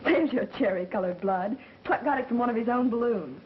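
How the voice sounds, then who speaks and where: A woman's voice: fairly high pitch, brisk, close to an everyday voice. An actress playing a feisty, sarcastic, skeptical woman who trades snappy wisecracks in a 1930s mystery film. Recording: muffled, hissy 1930s film soundtrack.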